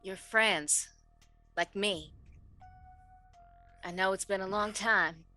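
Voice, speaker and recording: Woman playing a wry, bright-voiced female superhero. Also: mid-range voice, takes it slowly, soft background music. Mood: wry, reassuring. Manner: wry, reassuring. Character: wry, teasing, caring female superhero, an old friend. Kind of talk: in-character tabletop roleplay.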